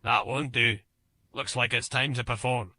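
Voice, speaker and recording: Elven bard for an audio RPG: extremely bright, cutting voice, theatrical wit, hard-drinking adventurer. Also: male voice, mid-range voice, fairly even intonation, moderate pace. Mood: theatrical, wry. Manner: theatrical, wry.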